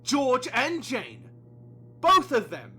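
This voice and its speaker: A man playing a whiny, self-pitying condemned murderer who shouts, pleads and accuses in an amateur audio play. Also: extremely high pitch, wildly swooping pitch, slow pace, slightly rough, voice pushed up, exaggerated voice. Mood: aggrieved despair.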